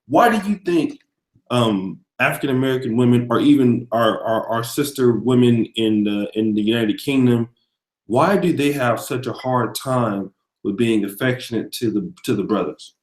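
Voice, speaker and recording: A man hosting a casual video interview, asking long questions with many pauses and fillers. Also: medium pitch, lively intonation, roomy sound. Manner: thanks viewers mid-question, hesitant fillers. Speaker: host.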